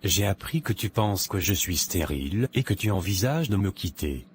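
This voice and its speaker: A man's voice: low-pitched, sing-song, normal speed. A man playing an earnest, conciliatory husband in a cartoon moral drama, with faint background noise. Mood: serious. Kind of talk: animated moral drama dialogue.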